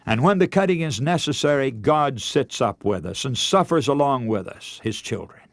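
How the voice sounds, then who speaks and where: A man preaching a radio sermon in earnest, measured oratory, moving between scripture and exhortation. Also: mid-range voice, animated, dry recording, clean studio sound.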